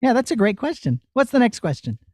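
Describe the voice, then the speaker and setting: Male puppeteer-teacher, high voice, casual and encouraging with children, close dry recording. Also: sing-song, fairly quick, darkish tone, no echo. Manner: encouraging, conversational, checking in with listeners. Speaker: puppeteer giving a master class. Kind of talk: casual explanation and demonstration for children.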